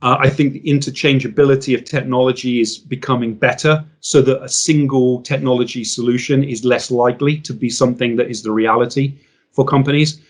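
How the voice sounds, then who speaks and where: A man's voice: medium pitch, steady tone, fairly quick. Articulate man explaining as interview guest. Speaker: interview guest, business consultant. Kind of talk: business interview, explanatory talk.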